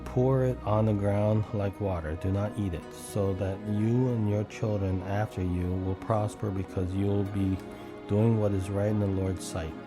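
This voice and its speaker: A man with a fairly low voice reads scripture from a script. Instrumental music plays clearly behind him. He now and then trips on a word as he goes through the verses. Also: steady tone, normal speed. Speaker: scripture reader. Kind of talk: Bible reading, scripted narration.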